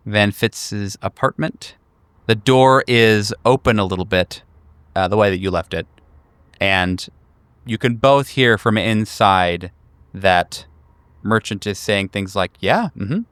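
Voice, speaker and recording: A man's voice: on the low side, slow pace, fairly bright tone, smooth, no room sound. A man narrating a tabletop horror game as its game master.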